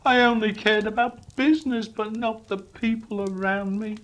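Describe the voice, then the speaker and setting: A man voicing the mournful ghost of a dead businessman in a dramatic storybook reading, solemn and wailing, with drawn-out words. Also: high-pitched, fairly even intonation, a bit bright, voice pushed up. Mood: anguished.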